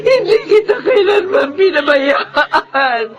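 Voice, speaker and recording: A woman's voice: very high voice, lively intonation, slow, muffled recording, exaggerated voice. A woman with a Filipino accent playing a kind, devoted middle-aged mother in a melodrama. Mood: distraught, pleading. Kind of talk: melodramatic Filipino drama acting. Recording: dramatic music behind.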